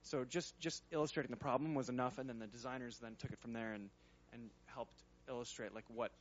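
A man's voice: mid-range voice, steady tone, fairly quick. A man in a workshop audience talks through his research work in a middle-range voice. He rambles and hesitates, circling his point with fillers. The sound is dull and lo-fi. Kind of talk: casual workshop Q&A.

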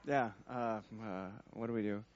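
A man's voice: on the low side, moderate pace, highs cut off. A man, a user researcher, talking in a casual workshop Q&A, rambling and hesitant with many fillers.

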